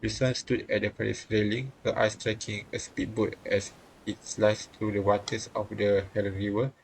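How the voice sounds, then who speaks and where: A man's voice: lowish voice, level tone, normal speed, a little hiss or hum, poor audio. A man streaming a visual novel, reading the game text aloud and often stumbling and rereading phrases.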